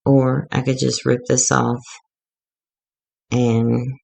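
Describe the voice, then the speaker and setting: A woman going hoarse as she gives a rambling, chatty, self-deprecating walkthrough of a craft project. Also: a bass voice, little pitch movement, drawn-out words, a little mellow. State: getting hoarse. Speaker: craft tutorial maker.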